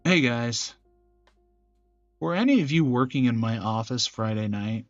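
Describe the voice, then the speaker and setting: A man voices scripted visual novel drama in a serious, measured way. His pitch sits in the middle. The recording is dry and slightly dull, with music underneath. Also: lively intonation, no echo. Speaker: gaming channel creator voicing every character. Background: game music.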